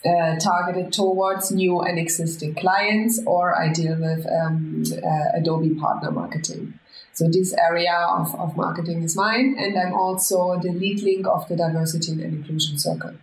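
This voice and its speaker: German woman interviewee in an echoey room. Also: on the low side. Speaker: interview guest, marketing manager. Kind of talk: casual conversational interview answers. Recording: echoey room, faint background noise.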